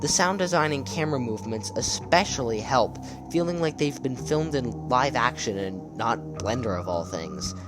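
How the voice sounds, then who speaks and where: A man's voice: medium pitch, lively intonation, normal speed, few pauses, over music. A man giving casual scripted video commentary in a conversational, enthusiastic way. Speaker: video essay narrator. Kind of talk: casual scripted commentary on horror web series.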